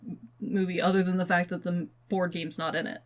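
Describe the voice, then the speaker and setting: Animated young woman chatting casually. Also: lowish voice, quick pace. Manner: animated, slangy, jokey exaggeration with frequent "like". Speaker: podcast co-host. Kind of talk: casual conversational podcast chat.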